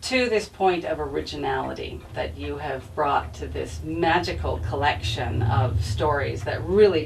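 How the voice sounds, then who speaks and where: Off-mic sound, faint noise, woman, deep for a female voice, gravelly fry, formal event interviewer, prepared delivery. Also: far from the mic, poor audio. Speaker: interviewer introducing an author. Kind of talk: prepared introduction and formal questions. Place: bookstore event.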